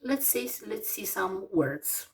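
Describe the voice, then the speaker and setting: A Romanian woman in her forties with a Romanian accent, teaching English vocabulary slowly, with many fillers and pauses as she lists words one by one. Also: medium pitch, animated, creaky, recorded from a distance. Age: 40s. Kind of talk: slow vocabulary lesson.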